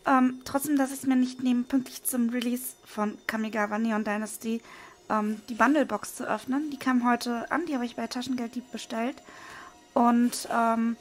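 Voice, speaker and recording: A woman with a hoarse voice, casually commenting as she unboxes trading cards at a desk. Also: a little high, normal speed. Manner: relaxed, with frequent hesitation fillers. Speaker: card unboxing video host. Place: indoors at a desk, hands over table. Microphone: small camera-mounted microphone.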